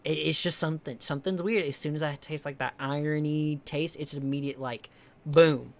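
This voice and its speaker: A young man from the with a higher-pitched voice, chatting casually with his stream viewers, rambling, swearing a lot and restarting often. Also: fairly even intonation, brisk. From American South. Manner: rambling, heavy swearing, many restarts and fillers.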